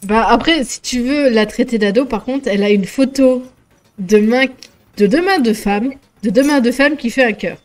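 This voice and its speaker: A woman's voice: fairly high pitch, normal speed, a little mellow. A young woman co-hosting a live stream, teasing and joking in casual chat. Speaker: stream co-host.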